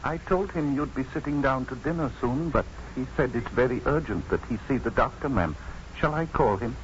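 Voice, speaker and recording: A man's voice: medium pitch, animated, a little fast, natural voice. A man acting in a 1950s radio drama as a deferential, earnest manservant, speaking politely and formally. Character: deferential, earnest manservant and loyal companion to a doctor. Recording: muffled, noisy 1950s radio recording.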